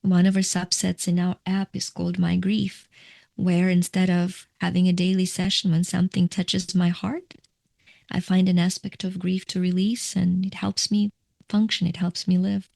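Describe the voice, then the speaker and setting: A middle-aged woman from Ukraine speaks in a fairly low voice with a gravelly edge. She is a coach giving reflective, explanatory interview answers and sometimes restarts her phrases. The sound is dry and close. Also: monotone, normal speed, a little mellow, no echo. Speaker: guest, coach.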